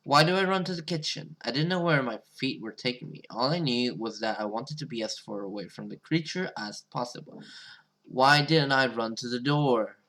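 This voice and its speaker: A man's voice: medium pitch, moderate pace, fairly bright tone, rough recording. A man hosting a gaming video, reading story text aloud dramatically and reacting with casual asides.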